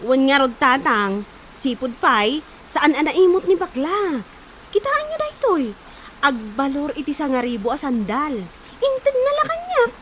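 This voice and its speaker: A woman's voice: high voice, sing-song, measured pace, fairly bright tone, slight background noise, full character voice, poor audio. A woman acting a good-natured, proud village woman in an Ilocano comedy drama, with an Ilocano accent. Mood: earnest.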